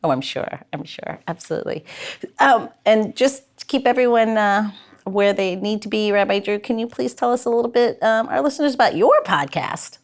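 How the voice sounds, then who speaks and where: A woman's voice: mid-range voice, expressive, normal speed. A woman hosting a podcast, interviewing her guests in a casual, conversational style.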